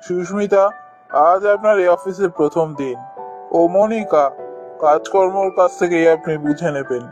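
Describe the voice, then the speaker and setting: Cartoon office boss, played by a man: higher male voice, composed and businesslike, music prominent underneath. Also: moderate pace, darkish tone, muffled recording, natural voice. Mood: calm, formal. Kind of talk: cartoon ghost story voice acting.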